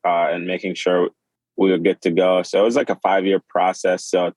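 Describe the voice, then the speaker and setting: A man in his twenties, born in Sudan and raised in Kenya, speaking as a podcast guest in a halting, casual way with many fillers and restarts. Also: low-pitched, flat delivery, darkish tone, no echo. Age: 20s. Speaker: podcast guest, clothing designer. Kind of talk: casual interview.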